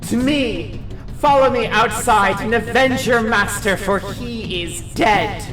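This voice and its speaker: A woman's voice: on the high side, slow pace, distant mic, over music. A woman playing a fierce, grieving vizier and poison master in her thirties, with a commanding, dramatic delivery in a fantasy audio drama. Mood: commanding, battle fury.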